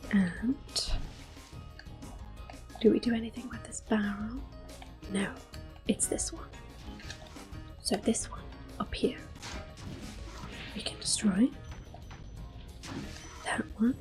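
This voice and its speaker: A young woman whispering gentle, unhurried commentary while playing a video game for an ASMR video. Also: mid-range voice. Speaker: ASMR gaming host.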